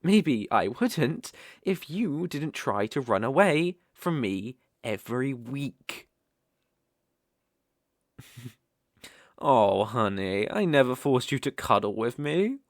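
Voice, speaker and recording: Casting: male actor as possessive British dark elf master for ASMR roleplay; unhurried, condescending, coaxing, widely swooping intonation. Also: mid-range voice, big pitch swings, dry recording, studio quality. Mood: playful, teasing. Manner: teasing, condescending, coaxing, with soft chuckles.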